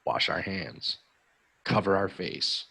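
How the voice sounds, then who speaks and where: Gravelly-voiced American man, upbeat tutor. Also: low-pitched, lively intonation, slow pace, pushed and bright, rough recording. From United States. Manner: friendly, upbeat, many ums. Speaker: English tutor hosting a live stream. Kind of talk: casual live-stream chat.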